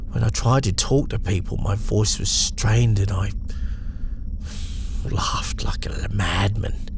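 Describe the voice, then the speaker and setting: A man reading a first-person horror story as a shaken, guilt-ridden survivor haltingly confessing what haunts him. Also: fairly low pitch, creaky, noisy background.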